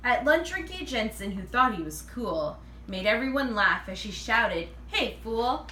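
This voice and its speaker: Animated woman reading a storybook, roomy recording. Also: medium pitch, moderate pace. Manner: animated, acts out dialogue. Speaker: read-aloud reader. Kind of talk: expressive children's picture book read-aloud. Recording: roomy, distant mic, faint noise.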